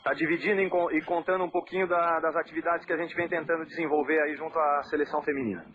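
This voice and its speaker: A man from southern Brazil who coaches a national team, answering interview questions in a measured way, with frequent fillers and restarts. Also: fairly high pitch, fairly even intonation. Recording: muffled lo-fi audio with faint noise.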